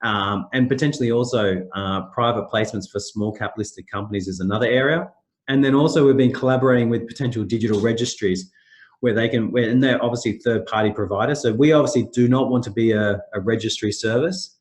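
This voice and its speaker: A man's voice: medium pitch, a little fast. Nasal, under-the-weather man presenting. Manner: loose, repetitive phrasing with many restarts and fillers. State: nasal, sick with a sore throat. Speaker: company managing director presenting a webinar. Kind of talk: webinar presentation.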